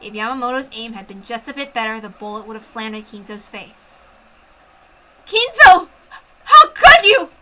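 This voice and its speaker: A woman's voice: on the high side, brisk, hardly any pauses, bright, forceful tone, a little hiss or hum. A woman reading a visual novel aloud as a dramatic narrator, with casual asides. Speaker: story reader.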